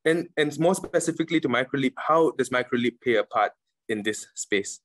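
A middle-aged man moderating an online panel over a video call, asking measured, formal questions. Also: medium pitch, expressive, a bit bright, dry recording. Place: home room on a video call.

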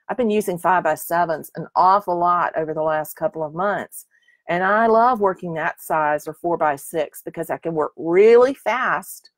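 A woman in her fifties teaches painting live from a small home room, chatting warmly with viewers as she answers their questions one by one. Her voice sits a little low and sounds slightly worn, as if she is losing it. It is picked up close and dry by an earbud mic, with no room echo. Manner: chatty, encouraging, answers comments by name. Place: small room with curtained window, indoors.

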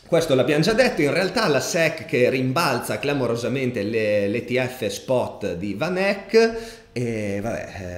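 A man in his thirties hosting a casual live stream, thinking out loud with plenty of fillers and restarts. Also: medium pitch. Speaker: crypto streamer. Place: home room, seated in gaming chair. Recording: roomy echo.

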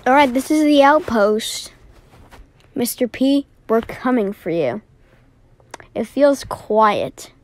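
Amateur animation voice: woman, fairly high, unhurried, drawn-out dramatic delivery. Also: expressive. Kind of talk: amateur voice acting for animated story.

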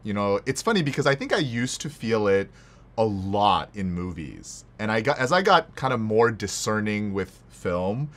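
Guy hosting a laid back chat, lowish voice, pitch jumps around a lot. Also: normal speed. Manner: informal, animated, tags phrases with "right?". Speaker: podcast host, interviewer. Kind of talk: casual chat among friends.

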